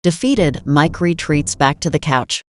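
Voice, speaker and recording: A man's voice: on the high side, lively intonation, measured pace. A man narrating a scripted drama synopsis.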